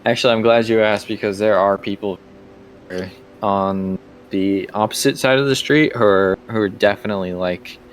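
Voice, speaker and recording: A man's voice: lowish voice, steady tone, normal speed, a little hiss or hum. A man working as game master, narrating casually and improvising as he goes, often thinking aloud.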